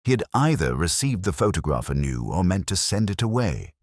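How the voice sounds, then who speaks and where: A man as a very deep, brooding older detective. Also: big pitch swings, no room sound, studio quality. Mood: weary, reflective. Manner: measured, wry, brooding. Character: weary, melancholy older male English police inspector recalling a case. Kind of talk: slow literary first-person mystery narration.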